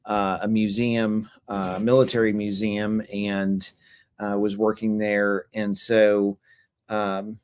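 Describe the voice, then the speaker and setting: Male webinar host, lowish voice, going hoarse, chatty and full of fillers. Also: level tone. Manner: halting, many fillers and restarts. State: losing his voice. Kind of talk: casual webinar talk.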